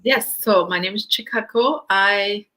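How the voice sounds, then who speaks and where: Bright-voiced Japanese-accented woman in her fifties. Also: medium pitch, measured pace. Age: 50s. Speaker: interview guest. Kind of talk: personal storytelling in conversation.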